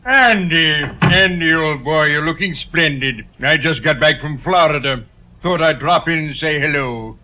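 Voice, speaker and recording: Male actor as washed-up ham stage star, higher-pitched, florid and ingratiating, 1950s radio play, muffled old recording. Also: measured pace, noisy background, natural voice. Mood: jovial, flattering. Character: faded ham matinee idol, older, grandiloquent, wheedling. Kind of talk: 1950s radio drama acting. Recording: dull vintage radio recording.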